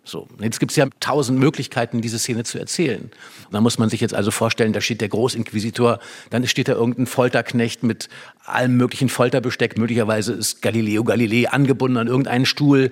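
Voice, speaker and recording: A man's voice: medium pitch, steady tone, brisk. A man in conversation, explaining a play as an expert guest with vivid storytelling and emphatic repetition.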